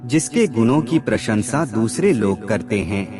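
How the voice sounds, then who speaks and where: A man reading scripted moral aphorisms as a narrator, in a measured, formal recitation. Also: on the low side, animated. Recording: music bed under the voice. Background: background music.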